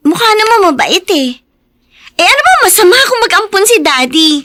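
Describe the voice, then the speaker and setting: Girlish young sister, extremely high bright voice, gentle, innocent, radio drama. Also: very animated, a little fast, slight background noise, exaggerated voice. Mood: hesitant, mild.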